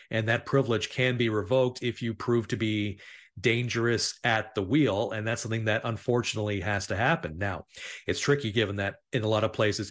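A man co-hosting a casual discussion, speaking in a deliberate, slightly wry manner. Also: medium pitch. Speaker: talk show co-host.

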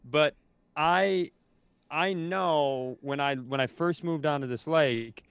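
A man's voice: a little high, slow pace, fairly bright tone, clean tone, no room sound. A man co-hosting a podcast, ranting casually in an exasperated, sweary, rambling way with frequent restarts. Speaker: podcast co-host.

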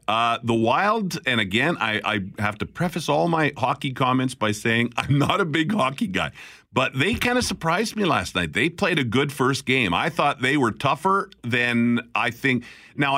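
Male call-in radio host, bright, chatty, lively delivery, dry studio sound. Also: medium pitch, dry recording. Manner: animated, chatty, quick laughs. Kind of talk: casual call-in radio chat.

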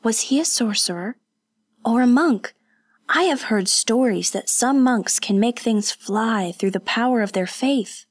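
A woman voicing a sheltered, curious young noblewoman who speaks brightly and eagerly. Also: mid-range voice, measured pace, no echo, clean studio sound, pitched above the performer's own voice, close to an everyday voice.

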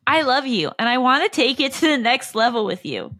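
Woman acting out a bright, high-voiced, lovesick woman. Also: lively intonation, dry recording, clean studio sound, full character voice. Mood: lovesick pleading. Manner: dramatic monologue with drawn-out, list-like delivery. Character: obsessively lovesick woman texter, gushing and clingy.

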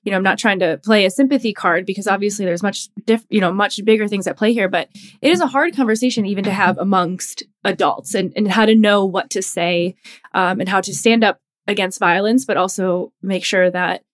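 A woman co-hosting a podcast who works in healthcare, speaking earnestly and haltingly as she searches for words. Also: mid-range voice. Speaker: podcast co-host, healthcare worker. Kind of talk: casual podcast chat.

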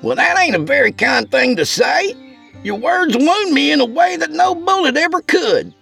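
A man's voice: very high voice, big pitch swings, a little slow, gravelly, fairly bright tone, breathy edge, faint music behind, pitched above the performer's own voice, heavily acted. A man voicing a hapless, timid country fellow who is whiny, folksy and pleading, in an audiobook story. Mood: wounded pouting.

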